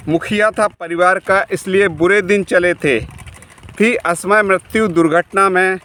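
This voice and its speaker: A man in his forties, a poet, reciting his own verses in a measured, rhythmic way, pausing between lines, while riding a bicycle outdoors. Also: high voice, fairly bright tone, a little hiss or hum. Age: 40s. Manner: measured, rhythmic reading with pauses. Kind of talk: poetry recitation while cycling.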